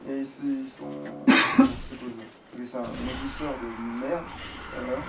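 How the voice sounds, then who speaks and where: A man's voice: medium pitch, a little slow, gravelly. A man doing casual let's play commentary, grumbling and swearing as he plays. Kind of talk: casual gaming commentary while playing. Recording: distant mic, faint background noise.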